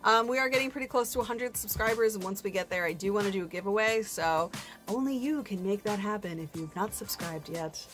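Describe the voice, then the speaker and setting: A woman with a mid-pitched voice chats casually as a solo book review host. She speaks informally, tossing in slangy, self-deprecating asides. Faint music plays behind her. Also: a little fast, fairly bright tone.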